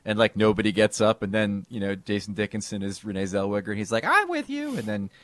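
A man co-hosting a sports podcast, talking in a casual, animated way with bursts of emphasis. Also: on the low side, fast, no echo. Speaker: podcast co-host.

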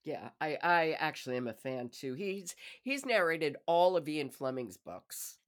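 A woman's voice: sits low, very animated, a little slow. A woman hosting a podcast in a conversational book-review style. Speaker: podcast host.

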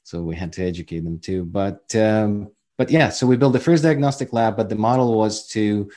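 A man's voice: fairly low pitch, moderate pace, mellow. A man in his forties from Ukraine with a Ukrainian accent, telling his career story as a guest in a casual interview, chatty and self-deprecating. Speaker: guest entrepreneur.

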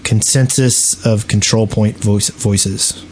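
A man's voice: fairly low pitch, steady tone, takes it slowly, a little mellow, a little hiss or hum. A man co-hosting a gaming podcast, chatting casually. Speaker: podcast co-host.

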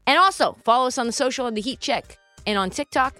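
Animated woman hosting a sports podcast. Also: sits high, quick pace, soft background music. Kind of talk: casual sports talk show.

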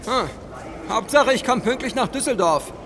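A male passenger in a children's audio drama, impatient and skeptical. The voice is high and jumps about in pitch. Clear noise runs behind it. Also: animated, normal speed, a bit bright, full character voice.

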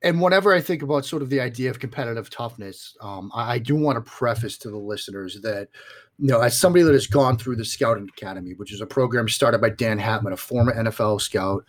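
A man's voice: medium pitch, fast talker. A man in his forties speaks with a Boston accent and a mid-range voice. He is a guest analyst on a sports show, talking conversationally and stacking up repeated phrases for emphasis. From Boston area, United States. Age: 40s.

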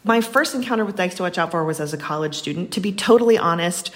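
Low-voiced American woman, chatty and wry. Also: expressive, quick pace. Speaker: interview guest, playwright. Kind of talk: casual interview conversation.

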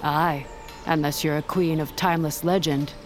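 A woman's voice: low voice, fairly even intonation, normal speed, natural voice. A woman playing a steadfast sworn bodyguard to a queen in an audio drama, formal and deferential. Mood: solemn, devoted. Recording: dramatic music behind the voice.

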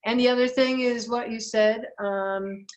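Hesitant woman caller, higher voice. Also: fairly even intonation, highs cut off. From Detroit. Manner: hesitant, halting, many fillers. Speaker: caller asking a question. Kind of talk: casual question in an online meeting. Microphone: video call.

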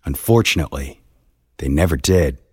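Male narrator for history storytelling: very low, husky voice, measured and solemn. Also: lively intonation, dry recording, clean studio sound. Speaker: narrator, podcast host.

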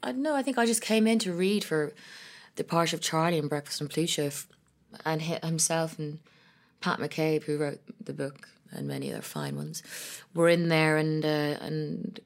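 Brief: woman, actress in interview, low gravelly voice, hesitant, restarts often. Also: animated, moderate pace. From Ethiopia and Ireland. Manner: thoughtful, hesitant, with frequent restarts. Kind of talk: conversational interview.

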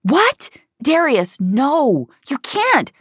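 A woman's voice: deep voice, animated, measured pace, dry recording, studio quality. A woman voicing a tough, defiant female agent on the run in an audio drama, speaking in terse, emphatic bursts. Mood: desperate, pleading.

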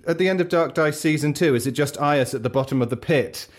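A man's voice: a little high, fast. A man chatting casually in a slightly hesitant, self-correcting way. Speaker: cast member of an audio drama show. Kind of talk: casual chat.

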